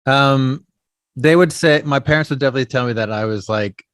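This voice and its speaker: A man's voice: mid-range voice, fairly quick, fairly bright tone, smooth, dry recording. A man, an actor and puppeteer, telling family stories in a casual podcast interview, rambling and full of "you know" and "um". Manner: rambling storyteller, leans heavily on "you know" and "um".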